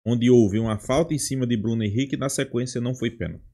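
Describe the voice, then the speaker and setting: Halting Brazilian man, casual football commentary. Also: mid-range voice, mellow. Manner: halting, checks in with tag questions. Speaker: football channel host.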